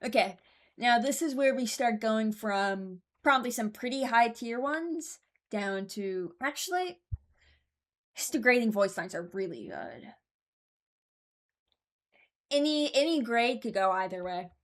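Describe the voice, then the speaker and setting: A woman's voice: on the high side, animated, unhurried, long pauses. A young woman giving casual, unscripted commentary as a video host, rambling and hesitant as she thinks aloud. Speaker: video host ranking game voice lines.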